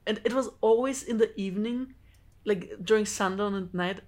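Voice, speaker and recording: A woman from Georgia, a native Georgian speaker, telling a personal story in a casual group chat with animated bursts and laughs between phrases. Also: mid-range voice, moderate pace. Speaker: podcast co-host.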